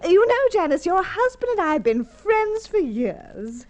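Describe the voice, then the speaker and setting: A woman's voice: extremely high pitch, sing-song, unhurried, heavily acted. A woman playing an assertive, gushing old family friend with a secret in a radio crime drama, theatrical and insistent. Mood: breezy, gushing.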